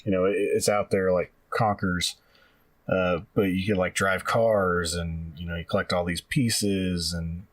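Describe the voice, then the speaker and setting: Deep-voiced rambling male podcast co-host. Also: steady tone, measured pace, no echo, recorded from a distance. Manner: rambling, many fillers and trailing phrases. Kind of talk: casual chat.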